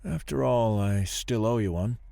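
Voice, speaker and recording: A man's voice: on the low side, moderate pace, gravelly, warm tone, dry recording. An actor playing a laid-back, obliging policeman in an audio drama, hesitant and understated.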